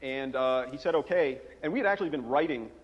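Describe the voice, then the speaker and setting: A man's voice: mid-range voice, expressive, fast. A man on a conference panel telling anecdotes with lots of fillers, heard in a roomy hall on a dull recording. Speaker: game writer on a conference panel. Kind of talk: panel talk.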